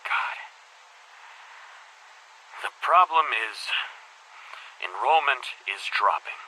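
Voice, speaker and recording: An actor playing an anxious middle-aged school headmaster in a game, confiding in a tape recorder in a halting, troubled monologue. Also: male voice, on the low side, bright, forceful tone, a little hiss or hum, heavily acted, low-quality recording. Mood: weary, despondent. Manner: halting, troubled, repeats words for emphasis. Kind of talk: dramatic audio-diary monologue.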